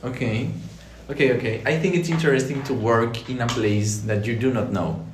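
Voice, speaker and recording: A young man from Colombia with a Colombian Spanish accent, hosting a casual student podcast and often pausing to search for words. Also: mid-range voice, a little hiss or hum. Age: young adult. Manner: relaxed, searching for words, hesitant with fillers. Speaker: podcast host, architecture student. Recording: echoey room.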